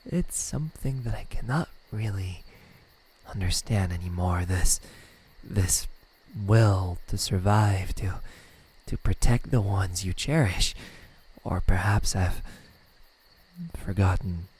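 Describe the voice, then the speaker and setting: A man voicing a smug, menacing yet melancholic demon in an ASMR roleplay, speaking in a hushed, taunting way. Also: sits low, heavily acted. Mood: coldly musing. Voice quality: breathy, slipping into whispers. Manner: slow, hushed, taunting delivery with pauses.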